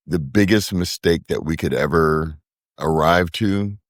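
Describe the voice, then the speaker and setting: A man's voice: low voice, a little slow, no echo, clean studio sound. A man speaking as a podcast guest, reflective and earnest in casual conversation, with the occasional burst of profanity. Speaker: podcast guest, brewery co-founder.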